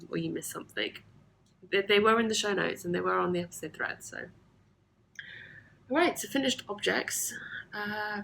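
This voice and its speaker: A woman's voice: lowish voice, creaky, a little hiss or hum, poor audio. A woman hosting a knitting video podcast from her home, chatting casually with lots of ums, slightly sniffly from allergies. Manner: chatty, with frequent ums and soft asides. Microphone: camera mic.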